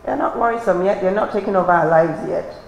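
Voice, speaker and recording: A woman's voice: on the low side, quick pace, creaky, darkish tone. A woman from Cameroon speaking unscripted at a workshop, earnest and passionate, with some fillers. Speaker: workshop participant. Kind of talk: unscripted remarks. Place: conference hall. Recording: echoey conference hall, faint noise.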